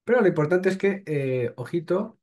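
A man's voice: sits high, expressive, moderate pace, darkish tone. A man, hosting and reading crypto news aloud, then breaking off with short blunt remarks. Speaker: host.

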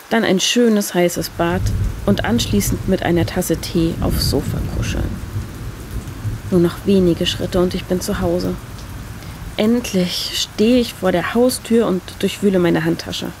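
A woman's voice: on the low side, poor audio. A woman narrating a scripted first-person short story as a reader. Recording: steady rain noise behind voice. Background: rain sound effect.

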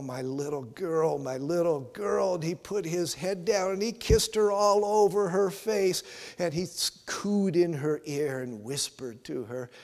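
A man in his sixties with an American accent, preaching and telling stories as a pastor, earnest and given to emphatic pauses, in a church. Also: a little high, vocal fry, a little mellow. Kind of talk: sermon with storytelling. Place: church sanctuary, at the altar. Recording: reverberant church hall.

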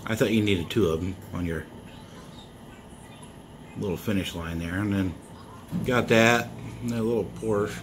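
A man with a very low voice talks through his toy car finds at a slow, relaxed pace. He rambles, chuckles often and fills gaps with ums. A faint hum of the room sits under his handheld phone recording. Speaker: toy car collector vlogger. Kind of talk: casual show-and-tell vlog. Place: indoors, filming toys laid on a bedsheet. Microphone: handheld phone camera.

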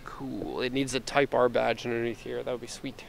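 A middle-aged man giving a casual, unscripted car walkaround, relaxed and thinking aloud, recorded on a handheld camera. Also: medium pitch, moderate pace. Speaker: car exporter presenting a vehicle. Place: outdoors by a parked car and inside it. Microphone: handheld camera microphone. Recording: outdoor handheld camera, clear ambient noise.

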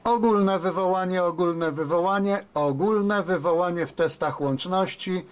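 A man's voice: sits high, a little slow, a little hiss or hum. A man working as a radio operator, giving call-outs and casual commentary a desk indoors. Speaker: amateur radio operator, host.

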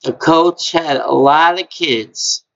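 A middle-aged man with a high voice hosts a trivia stream from his home, drawling slowly with lots of gravelly fry. He repeats himself in a chatty way. The webcam audio sounds dull and lo-fi. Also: a bit bright. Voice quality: high-pitched voice. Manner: slow, repetitive, chatty. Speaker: trivia game host. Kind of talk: live-stream trivia hosting. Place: small home room, indoors.

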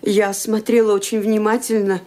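An actress playing a respectful, helpful housemaid in a radio play. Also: female voice, mid-range voice, little pitch movement, fairly quick, dark tone, close to an everyday voice. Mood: earnest. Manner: respectful, eager to help. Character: dutiful young female housemaid. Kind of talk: Soviet radio play acting.

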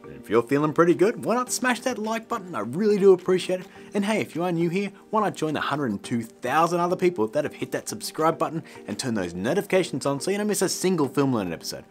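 Animated middle-aged man hosting a tutorial. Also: fairly high pitch, fast talker, hardly any pauses, soft background music. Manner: chummy direct address to viewers. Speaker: tutorial host. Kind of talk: casual, scripted video tutorial to camera.

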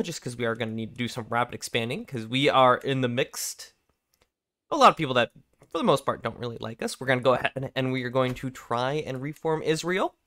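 A young man with a raspy voice from being sick, casually commenting on a strategy game, thinking aloud and clearing his throat often. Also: medium pitch, a bit bright. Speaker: gameplay commentator. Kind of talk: casual let's play commentary.

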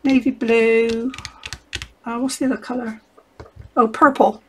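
A middle-aged woman with a slightly croaky voice chats her way through a craft session at home, thinking aloud and filling gaps with ums. Her voice sits a touch high for a woman. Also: level tone, measured pace. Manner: chatty, rambling, thinks aloud with many ums. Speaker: craft vlogger. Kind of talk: casual crafting chat.

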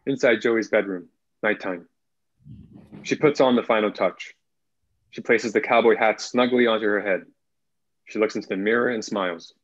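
A young man reading screenplay stage directions in a plain, measured way as narrator. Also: on the low side, natural voice. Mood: measured, neutral. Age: young adult. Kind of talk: scripted narration, table read. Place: small room at home, on video call. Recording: dull video call audio.

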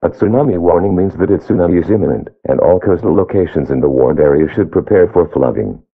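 A man's voice: low-pitched, animated, fast, husky. A synthetic man's voice reading a scripted emergency alert as its announcer, over radio. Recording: phone-like narrow-band radio sound.

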